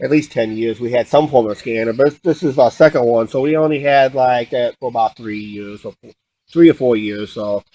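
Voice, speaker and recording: A man telling stories casually and unscripted, halting and often repeating himself as he restarts sentences. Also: medium pitch, warm tone, poor audio. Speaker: vlog co-host.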